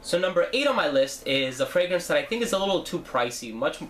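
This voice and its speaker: A man's voice: a little high, very animated, quick pace, a bit bright, slight background noise. Stuffy-nosed man reviewing fragrances. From New Jersey. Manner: chatty, with frequent fillers and self-corrections. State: stuffy nose from a cold. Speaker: fragrance reviewer, channel host. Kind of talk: casual video review.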